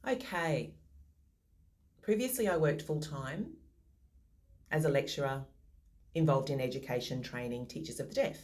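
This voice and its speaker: A woman's voice: deep voice, darkish tone. A modest, thoughtful woman answering interview questions over a video call. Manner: modest, thoughtful, some restarts.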